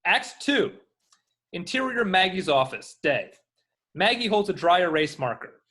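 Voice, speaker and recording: A man reads screen directions from a script. His narrating voice is a little higher than most men's. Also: sing-song, a little slow, bright, forceful tone.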